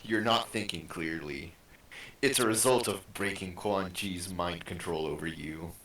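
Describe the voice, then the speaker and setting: Man playing a slow, gravelly undead ninja. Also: low voice, a bit bright, a little hiss or hum. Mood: grave, reassuring. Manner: slow, measured, formal delivery. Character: solemn undead ninja brother, grave and resigned. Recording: echoey room, distant mic.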